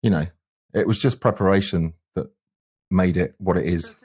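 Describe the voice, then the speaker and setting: Male musician, low voice, talking through his band's work in a conversational interview. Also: normal speed, darkish tone, husky. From England. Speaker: band frontman, singer and guitarist.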